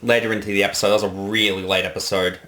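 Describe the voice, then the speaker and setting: A man speaking casually as a director in behind-the-scenes commentary, explaining things in a halting, reflective way. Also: on the low side, little pitch movement, sharp tone. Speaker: director giving creator commentary.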